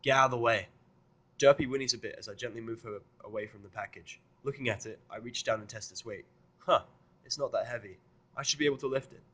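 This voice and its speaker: A man reading a first-person fan fiction story aloud with an expressive, sardonic delivery. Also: medium pitch, quick pace. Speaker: fan fiction reader and narrator.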